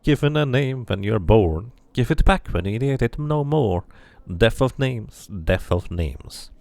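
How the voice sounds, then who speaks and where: A man's voice: medium pitch, expressive, unhurried, vocal fry, a little mellow, dry recording, pitched above the performer's own voice. A man voicing an eerie, crooked-smiled keeper of dead names in a fantasy game, with a cryptic, repetitive sing-song delivery.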